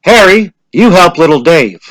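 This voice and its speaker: A man voicing a brisk, formal village official who directs a ceremony in a dramatic story reading. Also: sits high, animated, a bit bright, no room sound, voice pushed up, low-quality recording. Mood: directing.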